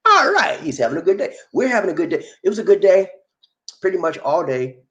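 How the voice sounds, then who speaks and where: A man's voice: high voice, big pitch swings, fast, fairly bright tone, lo-fi sound. A man hosting a casual livestream, reading viewer comments in a playful, teasing way. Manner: Southern American vernacular, playful and teasing. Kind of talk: casual chat.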